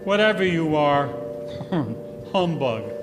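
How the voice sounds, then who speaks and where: A man acts out a stubborn, scornful old miser who is easily frightened, in an old-time radio play. The voice is high and extremely slow, halting and stammering. Loud music and long hall reverb surround it. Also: big pitch swings. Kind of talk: 1940s radio play acting.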